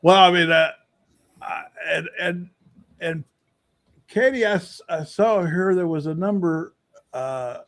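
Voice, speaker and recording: A man hosting his own podcast, talking casually with guests, halting with many restarts and fillers. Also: high-pitched, fairly bright tone. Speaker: podcast host. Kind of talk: casual panel chat.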